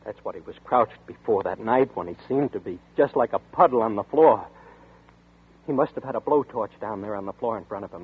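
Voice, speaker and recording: A terrified young man in a nightmare. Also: husky. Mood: haunted, pleading. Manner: panicky, pleading, strained high voice. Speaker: lead actor. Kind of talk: 1940s radio drama acting. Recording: tinny lo-fi 1940s radio broadcast.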